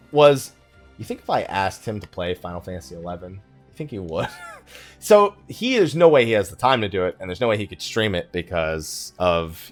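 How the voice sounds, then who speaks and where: A man hosting a gaming let's play, chatting casually in a rambling, joking way with mock exasperation and self-mockery. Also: deep voice. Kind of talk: casual chatty game commentary.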